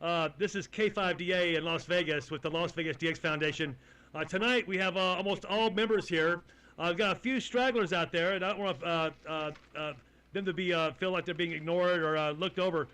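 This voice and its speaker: A man with a Texan accent running a ham radio net, folksy and halting, with many fillers. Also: high-pitched, pushed and bright, clean tone, poor audio. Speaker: net control host.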